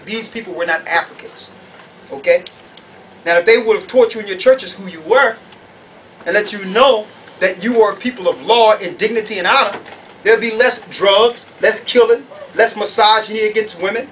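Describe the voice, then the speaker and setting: A man's voice: high-pitched, a bit bright. A man preaching a fiery doctrinal sermon to an audience, emphatic and confrontational, firing off rhetorical questions. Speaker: preacher, conference speaker. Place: conference room or hall. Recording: distant mic, noisy room ambience.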